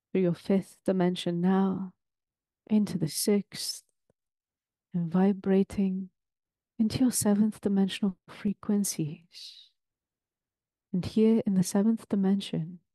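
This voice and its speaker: A woman leading a guided meditation in a soothing, deliberate, ceremonial way, with long pauses between phrases. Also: mid-range voice, warm tone, dry recording.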